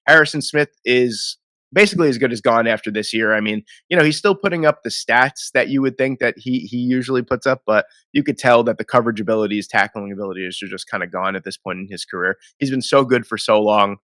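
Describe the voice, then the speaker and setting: A man's voice: medium pitch, fast, pushed and bright, no echo, clean studio sound. Congested man talking football. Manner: rambling, self-correcting, conversational. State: congested from a cold, nasal, sniffling. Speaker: host. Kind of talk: casual mock draft commentary.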